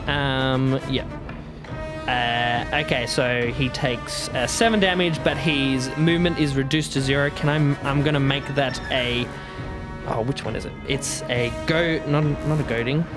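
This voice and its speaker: A man's voice: mid-range voice, steady tone, measured pace. A man playing a tabletop game, casually talking through rules and his moves. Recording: music behind the voice. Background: background music.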